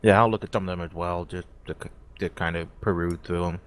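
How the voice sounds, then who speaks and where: A man's voice: low-pitched, steady tone, a little fast, slight background noise. A man playing a role-play game, talking casually and somewhat tentatively as he explains his choices.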